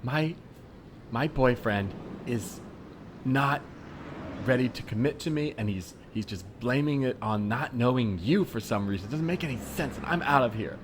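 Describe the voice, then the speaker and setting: A man's voice: mid-range voice, expressive, moderate pace, natural voice. An exasperated girlfriend voiced by a man in his everyday register in an improv comedy podcast. The delivery is blunt, weary and incredulous, with faint ambient noise behind. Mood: fed-up. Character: exasperated, fed-up girlfriend waiting on commitment. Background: added faint city soundscape.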